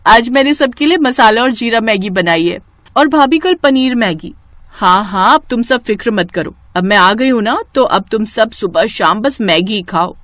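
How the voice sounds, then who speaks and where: A woman's voice: fairly high pitch, animated, normal speed, a bit bright, no room sound, voice pushed up. A woman voicing an emotional, exclamatory young daughter-in-law in a cartoon family story. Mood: cheerful, teasing. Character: cheerful young daughter-in-law, playful, later in anguished pain.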